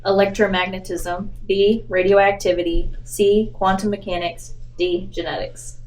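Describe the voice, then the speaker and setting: A woman's voice: mid-range voice, little pitch movement, measured pace, darkish tone, slight background noise, low-quality recording. A young woman playing a tabletop game, chatting informally and reading question cards aloud. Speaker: student player in a history game.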